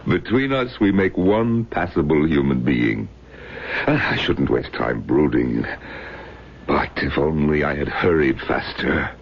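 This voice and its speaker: Very deep, gravelly old rabbi. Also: male voice, expressive, measured pace, exaggerated voice. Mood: wry brooding. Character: wry, brooding old rabbi, self-mocking. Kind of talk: radio drama acting. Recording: muffled old radio broadcast, faint noise.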